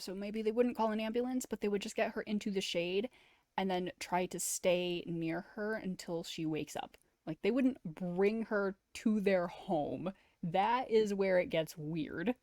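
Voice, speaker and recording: A woman talks casually as a game streamer, in a mid-pitched voice. She is informal and opinionated, often saying "like". The recording is dry and close. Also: darkish tone, no echo. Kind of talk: casual commentary and visual novel read-aloud.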